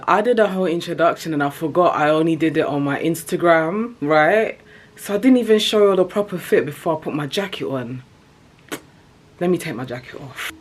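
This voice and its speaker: A woman, chatting casually to viewers as a travel vlogger on a handheld phone camera. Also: sits low. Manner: chatty, addresses viewers as "y'all". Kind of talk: casual vlog talk to camera. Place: street and shops of a city, in and out of doors.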